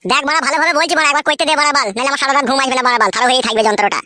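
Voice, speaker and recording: A man plays a young village husband from a crude comedy cartoon, shrill and extremely quick. He swears and badgers, hot-headed and whiny, in a close, dry sound. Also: bright, forceful tone, no room sound, full character voice. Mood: angry, frustrated.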